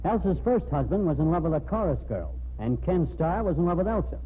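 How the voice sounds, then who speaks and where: Husky cynical man, high-pitched. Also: very animated, brisk. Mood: wry. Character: cynical, defensive, wisecracking male suitor. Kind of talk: old-time radio mystery acting. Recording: thin, phone-like vintage radio recording.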